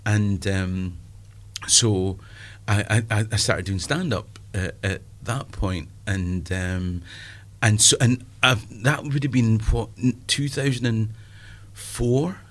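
A man's voice: lowish voice, slow, fairly bright tone. A Scottish man in his fifties, a stand-up comedian chatting in an interview, self-deprecating and full of asides. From Scotland. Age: 50s. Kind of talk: casual interview chat.

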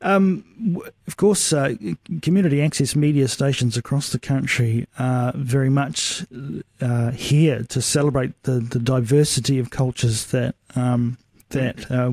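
A man interviewing a guest on community radio, asking friendly, measured questions. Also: medium pitch, darkish tone, dry recording, clean studio sound.

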